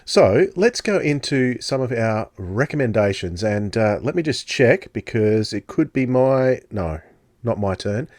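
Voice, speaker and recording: A man's voice: mid-range voice, animated, measured pace, darkish tone, dry recording, studio quality. A man chatting casually as co-host of a podcast. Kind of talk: casual chat.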